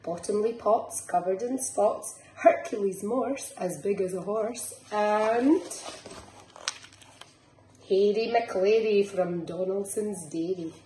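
Scottish woman, slow storytime reading, roomy sound. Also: medium pitch, mellow. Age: middle-aged. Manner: rhythmic, sing-song storytelling. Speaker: nursery teacher reading storytime. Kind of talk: expressive picture-book read-aloud for young children. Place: small living room, indoors. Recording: roomy echo, faint background noise.

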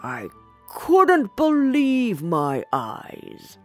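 A woman's voice: a little high, wildly swooping pitch, drawn-out words, faint music behind, pitched above the performer's own voice, full character voice. A woman voicing an uneasy, self-doubting nobleman in a storybook, musing in a secretive way.

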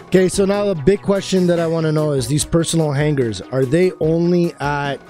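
A man casually chatting while playing a space game, easily excited and talkative. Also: a little high, brisk, faint music behind. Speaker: gaming channel host. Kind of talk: casual gameplay chat.

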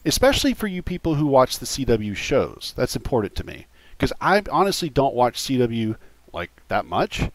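Male podcast host and streamer: informal, sweary, lively intonation, close dry sound. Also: mid-range voice, moderate pace, no room sound. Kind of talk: casual livestream chat.

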